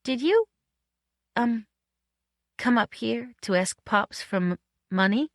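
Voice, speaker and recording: An anxious, girlish movie actress from a storybook , voiced by a woman narrator. Her voice sits high, with hesitant, stammering phrases and old-fashioned slang, protective and a little naive. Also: lively intonation, normal speed, dry recording, clean studio sound, close to an everyday voice.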